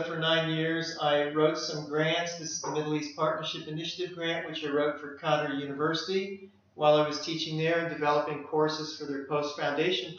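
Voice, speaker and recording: A middle-aged man, a language lecturer, walking through slides of his work in a measured way, often pausing on "uh". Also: fairly high pitch, fairly bright tone. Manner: measured, with frequent "uh" fillers. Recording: echoey room, dull lo-fi sound.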